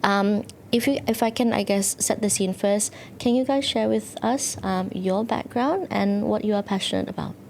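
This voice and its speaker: A woman's voice: mid-range voice, moderate pace, creaky, few pauses, a little mellow. Woman, podcast host, scripted upbeat intro, affirming murmurs, faint hiss. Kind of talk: hosted podcast conversation.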